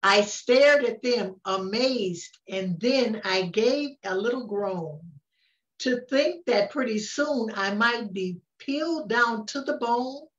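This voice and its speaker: An older woman reading a rhyming children's story aloud, with expressive, unhurried delivery and frequent pauses. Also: fairly high pitch. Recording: roomy, distant, dull-sounding microphone.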